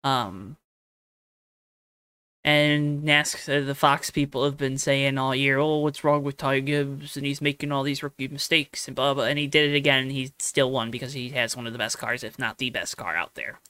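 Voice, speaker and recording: A man's voice: mid-range voice, flat delivery, normal speed, bright, forceful tone, clear, resonant tone, dry recording. A man co-hosting a casual racing podcast, rambling along with frequent ums and restarts. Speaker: podcast co-host. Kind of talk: casual motorsport chat.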